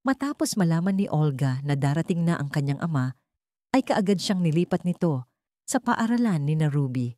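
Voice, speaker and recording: A woman reads a dramatic story with very varied intonation. Her voice sits low for a woman, and the sound is dry, with hardly any room in it. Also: animated, moderate pace, warm tone, no echo. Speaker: audiobook narrator. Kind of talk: scripted dramatic story narration.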